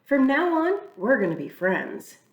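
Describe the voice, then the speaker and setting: A woman's voice: high-pitched, very animated, a little slow, voice pushed up. Lemon, a woman voicing a kind girl. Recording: echoey room, mic far off.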